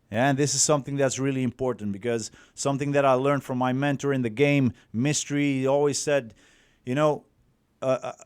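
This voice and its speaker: Dutch-accented man, unhurried, rambling coach talk, swears, smooth voice, dry close sound. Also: on the high side, no echo. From Netherlands. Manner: rambling, profane, many fillers and restarts. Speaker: dating coach. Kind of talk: casual coaching livestream.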